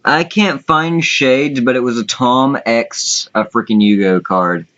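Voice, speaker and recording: Slow-talking man, dull lo-fi sound. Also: medium pitch, a bit bright. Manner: crude, swearing. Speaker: contest host. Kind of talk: casual chat, commentary. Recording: dull lo-fi voice chat audio.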